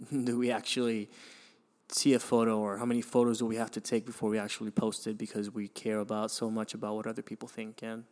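A young man from Venezuela talks through his own story on his podcast. His voice sits low and keeps to a narrow, level pitch. He pauses, sighs and restarts often as he thinks aloud. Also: completely flat delivery, a little mellow. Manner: hesitant, many restarts and fillers, sighs. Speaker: podcast host. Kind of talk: reflective personal storytelling.

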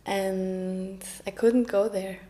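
A young woman from Norway, a native Norwegian speaker, telling her story in slow, soft-spoken, thoughtful narration. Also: medium pitch, fairly even intonation. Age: young adult. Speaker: illustration student and project co-founder. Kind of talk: reflective personal narration.

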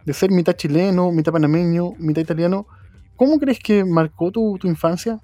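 Male interview host, mellow tone, chatty and friendly, dry close sound. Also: sits high, moderate pace, no echo, faint music behind. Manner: friendly, chatty, hesitant restarts. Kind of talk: casual interview.

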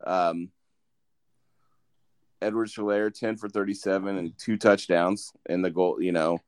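A man's voice: sits low, fairly even intonation, a little slow, clean tone. A man hosting a casual sports podcast, reading off game stats with frequent fillers and restarts. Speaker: podcast host.

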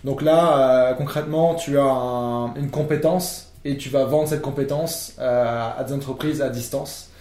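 A man's voice: medium pitch, steady tone, a little slow, hardly any pauses, warm tone. A young French man, a podcast guest, explaining at length in a casual conversation with many fillers and restarts. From France. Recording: echoey room.

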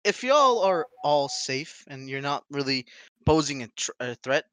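A man's voice: fairly high pitch, very animated, unhurried, bright, forceful tone, clean tone, dry recording. A man in his twenties co-hosting a casual podcast, rambling with restarts, laughing often and swearing freely, English not his first language. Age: 20s. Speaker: podcast co-host.

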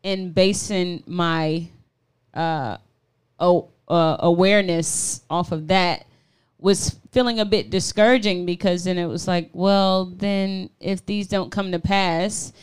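A woman's voice: fairly low pitch, fairly even intonation, slow pace, smooth. A young woman from the southern US co-hosting a casual faith talk show, chatty and laughing often. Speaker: co-host.

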